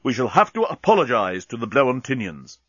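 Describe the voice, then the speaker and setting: A man's voice: medium pitch, very animated, a little fast, a bit bright, no echo, dull audio. A man voicing a stern, indignant starship captain with a pompous, formal manner in a storybook.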